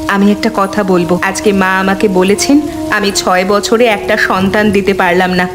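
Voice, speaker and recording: A woman playing a resolute, sorrowful and protective eldest daughter-in-law in a cartoon drama, speaking gravely and reassuringly. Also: medium pitch, level tone, normal speed, natural voice. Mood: hurt, sorrowful. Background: music.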